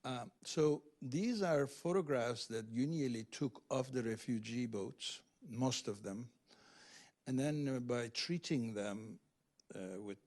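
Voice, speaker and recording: A man speaking as a literary translator at an event, explaining in a halting, searching, scholarly way without a script. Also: mid-range voice, darkish tone. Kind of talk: unscripted explanation at a reading Q&A.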